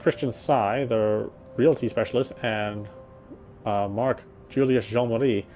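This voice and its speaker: A man's voice: mid-range voice, steady tone, a little slow, a little mellow, a little hiss or hum, lightly acted. An actor playing a weary male city detective, narrating in the first person with a wry inner voice.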